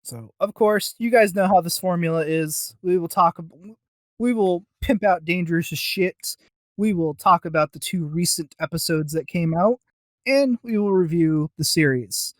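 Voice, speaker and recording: A man's voice: high-pitched, normal speed, no echo. A man co-hosting a casual review podcast, talking in a rambling, self-deprecating way. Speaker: podcast co-host.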